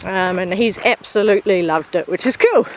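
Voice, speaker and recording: A woman's voice: medium pitch, normal speed. A woman with a mild New Zealand accent, chatting casually to her camera while walking a bush trail outdoors, laughing often. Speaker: hiker vlogger. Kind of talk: casual narration while hiking.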